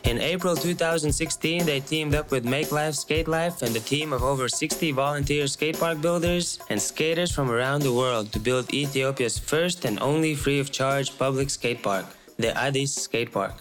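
A man's voice: medium pitch, moderate pace, few pauses, music in the background. A man hosting a travel skate vlog, talking in an upbeat, chatty way. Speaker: vlog host.

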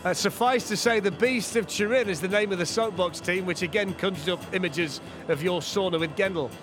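A man giving lively, jokey live commentary on a downhill race at an outdoor track. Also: high voice, over music. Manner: excitable, quick reactions, jokey.

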